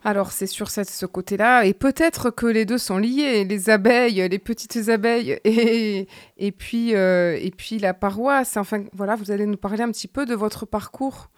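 A woman's voice: mid-range voice, moderate pace, no room sound, studio quality. A woman hosting a local radio interview show, asking questions in a friendly, conversational way. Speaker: radio host.

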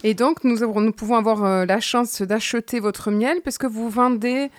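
A woman with a somewhat high voice hosts a local radio interview. She leads with friendly, conversational questions. The sound is dry and close to the microphone. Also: steady tone, normal speed, no room sound. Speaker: radio host.

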